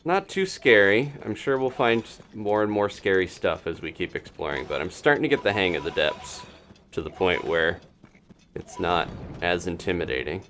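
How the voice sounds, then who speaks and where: A man's voice: deep voice, animated, moderate pace, fairly bright tone, a little hiss or hum. A man doing casual live commentary as he plays a video game, thinking aloud with playful reactions. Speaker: gaming live streamer.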